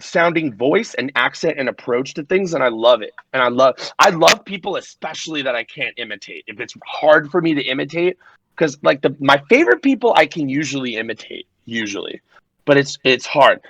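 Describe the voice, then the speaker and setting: Young male DJ guest, gravelly, dry close sound, rambling and profane. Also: medium pitch, expressive, fairly bright tone, no echo, poor audio. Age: 20s. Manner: rambling, profane storytelling with quick impressions. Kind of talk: casual podcast chat.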